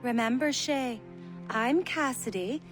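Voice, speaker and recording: A woman's voice: on the high side, slow, faint music behind. An actress playing a kindly, scolding older colonial wife in a video game, fussing in a motherly, emphatic way. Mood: fond scolding.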